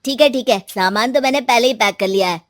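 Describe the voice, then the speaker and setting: A woman's voice: on the high side, fast talker, no echo, studio quality, natural voice. A woman voicing a casual, questioning young man in a storybook tale. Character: cautious, casual young male friend on holiday.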